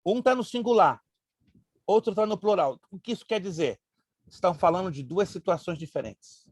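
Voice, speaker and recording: A male pastor with Hebrew as his first language leads a Bible class. His voice sits high and a little husky. He drills grammar terms slowly and mixes in joking asides. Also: a little mellow, no room sound, clean studio sound.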